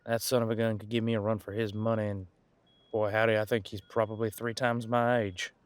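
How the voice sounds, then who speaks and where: A man playing a centuries-old, easygoing detective in an audio roleplay, talking casually and folksily as he muses aloud with pauses. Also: fairly low pitch, little pitch movement, no echo, close to an everyday voice. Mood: musing. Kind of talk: detective roleplay audio, one side of a conversation.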